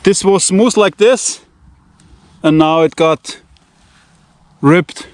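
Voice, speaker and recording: Blunt high-voiced Austrian man. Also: extremely slow, a little mellow, no echo. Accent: Austrian German. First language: Austrian German. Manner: blunt, profane, rambling in broken English. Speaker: knife-testing channel host. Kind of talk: casual walking vlog. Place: outdoors among vineyards and fields.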